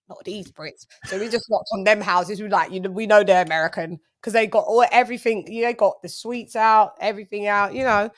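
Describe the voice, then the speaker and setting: A woman's voice: mid-range voice, steady tone, a little fast. A woman with an English accent hosting a casual live chat, laughing often and switching between English and Spanish. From England. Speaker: livestream host.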